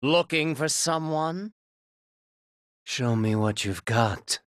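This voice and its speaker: A man voicing a cold, menacing gangster fighter in a video game, with a raspy, gravelly voice and curt, taunting delivery. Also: medium pitch, expressive, slow pace, a bit bright, no room sound, clean studio sound. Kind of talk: video game combat barks.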